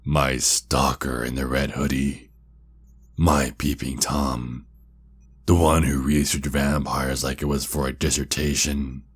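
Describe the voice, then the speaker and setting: Man voicing a very deep, husky vampire kidnapper. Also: flat delivery, full character voice. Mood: mocking. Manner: slow, drawn-out, mocking menace. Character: taunting, sinister centuries-old male vampire kidnapper. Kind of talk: ASMR roleplay monologue.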